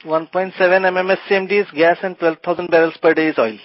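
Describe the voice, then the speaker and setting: High-pitched Indian male analyst. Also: flat delivery, fast, slight background noise. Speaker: financial analyst asking questions. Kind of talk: questioning on an earnings call. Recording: dull, dry phone conference line.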